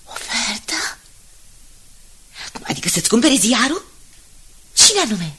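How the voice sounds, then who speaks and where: A nagging, excitable middle-aged wife in a radio play. Her highish, husky voice swoops theatrically as she presses her point with snobbish insistence. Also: heavily acted. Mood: eagerly curious. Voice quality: husky, breathy. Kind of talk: 1980s Romanian radio drama acting.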